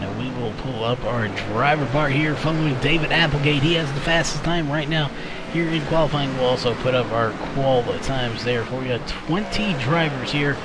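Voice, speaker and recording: Brief: male race commentator, voice fraying from a long day, reads out lap times steadily, slightly higher pitch, noisy track audio underneath. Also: moderate pace. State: losing his voice. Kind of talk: play-by-play commentary.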